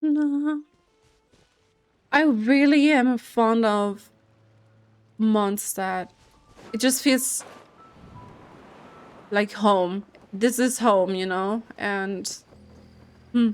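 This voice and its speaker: A woman's voice: a little high, extremely slow, long pauses, clean tone. A woman game streamer chatting casually and reading aloud as she plays, hesitant and thinking aloud. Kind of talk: casual chat and reading aloud while playing. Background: game music.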